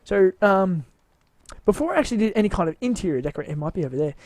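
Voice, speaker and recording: A man's voice: high-pitched, expressive, racing, darkish tone, dry recording. A man giving a casual, rambling walkthrough of his game build, tired and with his voice wearing out, hesitant and mocking himself.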